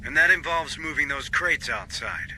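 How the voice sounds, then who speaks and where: A tough marine squad sergeant in a video game. He is curt and commanding, with a fairly high, very sharp voice over faint noise. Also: expressive, normal speed. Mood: gruffly dismissive. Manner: terse, commanding.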